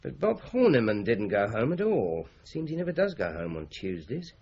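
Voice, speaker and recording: A man playing a shrewd, methodical British police inspector in a radio drama, brisk and authoritative. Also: medium pitch, big pitch swings, a little mellow, slight background noise. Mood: thoughtful, dogged. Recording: slightly dull radio drama recording.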